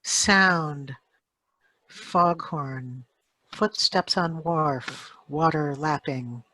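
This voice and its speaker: A woman reads out sound cues very slowly, in clipped phrases. Her voice is bright and crisp-edged. The video call recording carries some noise and clicks. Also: sits low, poor audio. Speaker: sound effects reader. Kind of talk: announcing radio script sound cues.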